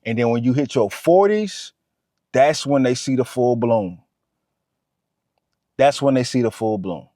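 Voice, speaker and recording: A man's voice: mid-range voice, lively intonation, measured pace, pauses often, dark tone, dry recording, studio quality. A man, giving a motivational talk to camera. He talks straight to the viewer and repeats his points. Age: 30s. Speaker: vlogger.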